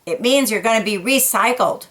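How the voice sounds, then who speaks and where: A woman's voice: on the high side, normal speed, a bit bright, natural voice. A woman voicing a blunt, kindly cockroach. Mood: matter-of-fact.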